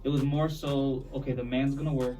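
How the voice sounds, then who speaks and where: A man's voice: mid-range voice, level tone, fairly quick, dark tone, faint music behind. Male panelist with a slight New York accent, mid-range voice, easygoing and reflective, recorded at a distance. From born overseas, raised in the US. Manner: easygoing, reflective, uses lots of fillers. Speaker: podcast panelist. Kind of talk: casual panel discussion.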